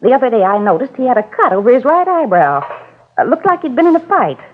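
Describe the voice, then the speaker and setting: A woman's voice: on the high side, sing-song, fast talker, a little hiss or hum. An actress playing a chatty older female neighbor who rambles on about her cat, in 1950s radio drama style. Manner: rambling, gossipy. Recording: tinny old radio broadcast.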